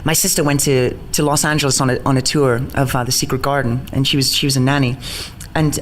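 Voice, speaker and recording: A man from the north east of England with a mixed accent, part worn-down Geordie and part American, chatting as an interviewed stage actor, quick and excitable, laughing often and restarting his sentences. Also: mid-range voice, slight background noise. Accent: mixed, mostly neutralised Geordie with American touches. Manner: rapid, bubbly, stumbling restarts, laughs often. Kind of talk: casual interview chat.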